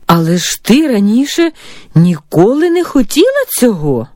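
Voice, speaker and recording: Actress as a measured, gently doubting mom, very expressive pitch, dry studio sound. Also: female voice, no echo. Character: calm skeptical mother Yevheniia Petrivna.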